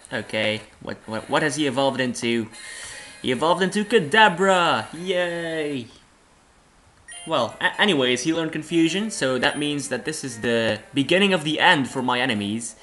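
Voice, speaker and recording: A young man from Belgium with a cold and a hoarse voice, rambling through casual let's-play game commentary from home and laughing at his own jokes. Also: medium pitch, sharp tone. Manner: rambling, self-deprecating, laughs often.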